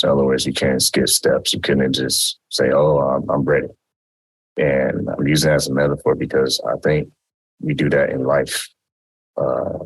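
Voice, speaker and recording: A man hosting an interview in a relaxed, casual way, using everyday metaphors. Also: a bass voice, slightly rough, rough recording.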